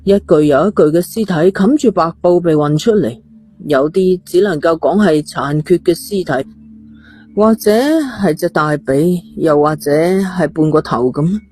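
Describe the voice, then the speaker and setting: A woman's voice: on the low side, expressive, a little slow, mellow, breathy edge. A woman, narrating a scripted thriller story. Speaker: audiobook narrator.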